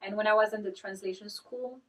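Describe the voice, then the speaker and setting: A woman in her thirties from Morocco, Arabic her first language, answering interview questions thoughtfully and modestly with frequent pauses. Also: mid-range voice, fairly even intonation, distant mic. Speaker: guest, author and entrepreneur.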